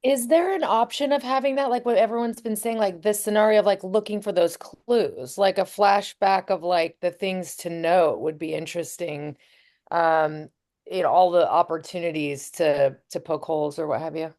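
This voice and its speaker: A woman's voice: fairly low pitch, fairly even intonation, moderate pace, a little mellow. A woman chatting in a casual group discussion in a tentative way, trailing off.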